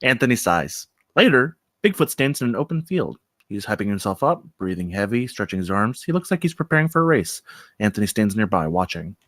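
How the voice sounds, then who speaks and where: A man's voice: on the low side, very animated, fairly quick, no echo, studio quality. A man reading out stage directions as the narrator of a screenplay table read.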